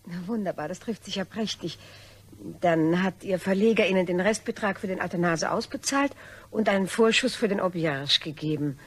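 An actress playing a calculating, mockingly courteous blackmailer in a German radio crime drama, with silky politeness and veiled threats. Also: female voice, lowish voice, normal speed, breathy edge. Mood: mock politeness.

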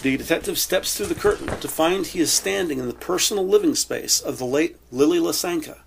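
A man reading scripted audio drama narration in a measured, descriptive way. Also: fairly high pitch, creaky, a little hiss or hum, poor audio.

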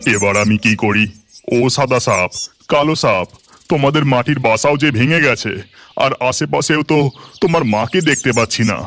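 A man's voice: mid-range voice, moderate pace, fairly bright tone, soft background music, close to an everyday voice. A man voicing a good-natured village snake charmer with a theatrical, helpful manner in a fairy tale cartoon. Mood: puzzled. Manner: helpful, theatrical thinking aloud.

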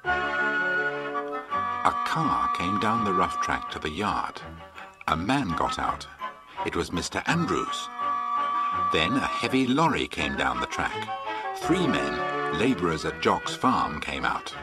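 An English man narrates a children's story with drama. His voice is deep and swings widely in pitch. Loud music plays behind him. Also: huge pitch swings, takes it slowly, long pauses.

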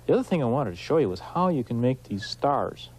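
A man hosting an instructional craft demonstration, explaining informally and in a folksy, unhurried way while he works with his hands. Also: mid-range voice, very animated, a little mellow, a little hiss or hum, poor audio. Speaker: woodcarving instructor, show host.

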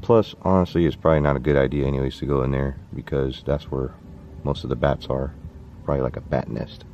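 A man in his thirties hosting a vlog, chatting to the camera and reading off viewer names while exploring a dark abandoned stadium at night. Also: very deep voice, steady tone, a little fast, dull audio, a little hiss or hum. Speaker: YouTube host. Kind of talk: casual vlog narration. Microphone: handheld camera held close.

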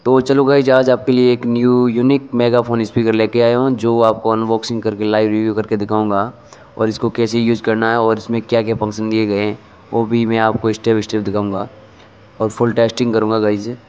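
Indian male review vlogger, lowish clear voice, informal step-by-step delivery, slightly muffled lo-fi recording. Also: monotone, fairly quick, a little mellow. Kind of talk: unboxing and demonstration narration, addressing viewers.

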